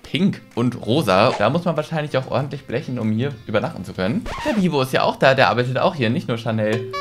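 Casting: man, twenties, mid-pitched casual gaming host, close desk mic, music underneath. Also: animated, fast talker. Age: 20s. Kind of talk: casual let's-play presenting to camera. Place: small furnished room indoors. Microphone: desk microphone close to speaker. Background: background music.

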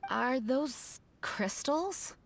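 A woman's voice: sits high, expressive, drawn-out words, gravelly, a little hiss or hum, heavily acted. A woman voicing a composed, caring young knight leader in a game, earnest and formal. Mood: puzzled. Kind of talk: game voice acting.

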